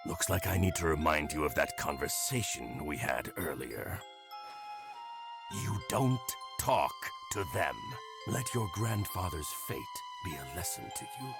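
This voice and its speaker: Cartoon game villain: contemptuous wolf leader, very low, gravelly, condescending. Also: male voice, expressive, over loud music, exaggerated voice. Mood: menacing, stern. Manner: slow, threatening, condescending. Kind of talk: cartoon adventure game acting.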